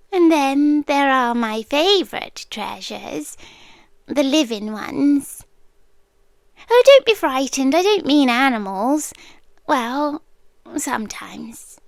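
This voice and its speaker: British woman as a high, whispery possessive monster girl. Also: dry recording, exaggerated voice. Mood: proud, enchanted, then eerily soothing. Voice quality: soft, breathy, often dropping to a whisper. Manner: slow, coaxing, eerily reassuring with dramatic pauses. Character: possessive centuries-old monster girl, sweetly sinister doting collector of people. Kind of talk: ASMR roleplay. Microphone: close ASMR microphone, dry sound.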